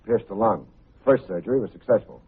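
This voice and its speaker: An actor playing a coolly unflappable, wisecracking private investigator in an old radio detective drama, with dry, clipped noir phrasing. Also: male voice, on the low side, lively intonation, fairly quick, breathy edge, exaggerated voice. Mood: probing, dry. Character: wry, hard-boiled male private investigator, coolly unflappable. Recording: muffled phone-like vintage radio recording.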